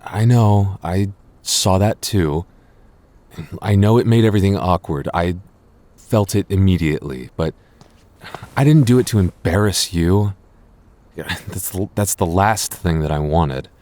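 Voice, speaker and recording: A man playing a possessive but apologetic young boyfriend in an intimate audio roleplay, speaking in a halting, earnest, conversational way. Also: low voice, darkish tone. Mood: apologetic, uneasy. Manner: hesitant, halting, stammering earnestly.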